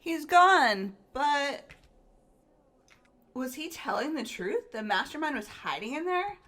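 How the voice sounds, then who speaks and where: A woman's voice: high voice, big pitch swings, takes it slowly, fairly bright tone, heavily acted. A woman voicing a perky, sporty teenage girl in a game playthrough, girlish and candid. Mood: uneasy. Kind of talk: voiced video game playthrough.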